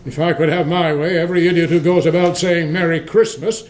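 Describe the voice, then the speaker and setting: A man's voice: fairly high pitch, steady tone, brisk, darkish tone, natural voice. A man acting a scornful, curt old miser, a sneering uncle, in a radio play. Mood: scornful, grumpy.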